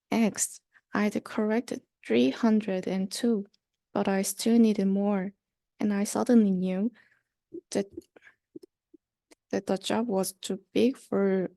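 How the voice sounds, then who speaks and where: Young woman reading kids rhymes, slow, lots of vocal fry. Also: medium pitch, dark tone, no room sound. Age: young adult. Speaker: student reader. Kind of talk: read-aloud of a rhyming children's storybook.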